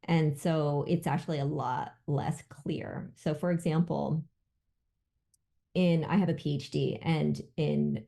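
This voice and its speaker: American woman guest chatting thoughtfully, low mellow voice, bit of creak. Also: steady tone, slow pace. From United States, born in Vietnam. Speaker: podcast guest, business founder and CEO. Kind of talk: conversational interview, personal reflection.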